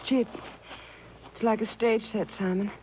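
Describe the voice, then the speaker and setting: An actress playing a frightened, fretful woman with halting, hesitant speech in a 1950s radio mystery. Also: on the high side, fairly even intonation, highs cut off, close to an everyday voice. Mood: fearful. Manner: halting, breathless hesitations and repeats. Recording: noisy old radio broadcast taped on cassette.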